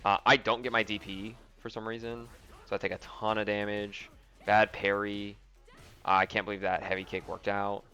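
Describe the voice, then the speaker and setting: A man's voice: fairly low pitch, level tone, moderate pace, sharp tone, smooth, soft background music. A young man casually commenting on replays of his own fighting game match, thinking aloud with lots of ums and likes.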